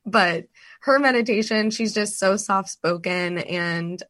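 A woman with a raspy voice, chatting enthusiastically as a podcast guest and laughing often. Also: medium pitch, takes it slowly, fairly bright tone. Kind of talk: casual podcast chat.

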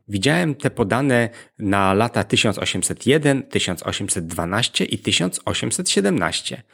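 A man narrates a scripted educational podcast as its host. His voice is mid-pitched and husky, with a fair amount of creak in it. He explains things directly to the listener.

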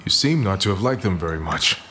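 A man's voice: deep voice, lively intonation, fairly quick. A man acting a domineering, scheming crime boss, in a hard-boiled comic audio drama. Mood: interrogating, sly. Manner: theatrical, commanding. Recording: faint background noise.

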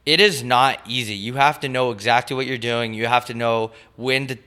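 A raspy man in his twenties, co-hosting a podcast with crude, joking casual chat. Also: medium pitch, steady tone, brisk, sharp tone. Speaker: podcast co-host.

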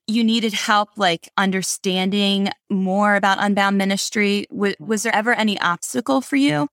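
A woman's voice: medium pitch, fairly even intonation, normal speed, fairly bright tone, no room sound, studio quality. A woman hosting a podcast interview, friendly and encouraging as she asks questions. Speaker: podcast host.

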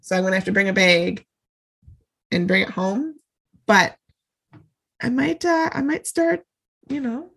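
A young woman co-hosting a podcast while getting over a cold, her voice nasal and stuffed up. A gravelly fry runs through her speech, and she stays bubbly and quick to laugh. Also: medium pitch, steady tone, a bit bright. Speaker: podcast co-host. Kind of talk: casual chat.

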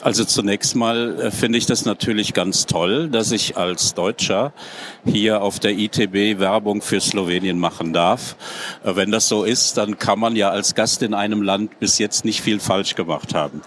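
A middle-aged German man with a lowish voice talks as a panel guest, measured and thoughtful, pausing with small hesitations. A faint hum of ambience sits behind him. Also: fairly bright tone. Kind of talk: panel talk at a trade fair.

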